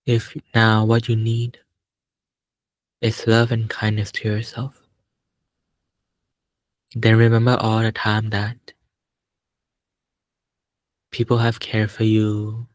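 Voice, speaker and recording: Man, meditation teacher, very slow delivery, calm short phrases, long pauses, close dry sound. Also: fairly low pitch, no echo, poor audio. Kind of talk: guided meditation instruction.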